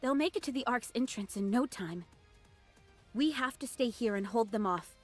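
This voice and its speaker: A woman's voice: a little high, expressive, moderate pace. A woman voicing a confident android squad leader in an anime game, firm and decisive, with music behind her. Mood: determined, urgent.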